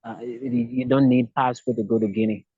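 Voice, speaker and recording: A man's voice: mid-range voice, steady tone, moderate pace, breathy edge, dry recording. A man from Liberia with a Liberian accent, answering interview questions hesitantly, often repeating words and restarting. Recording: dull lo-fi dry sound.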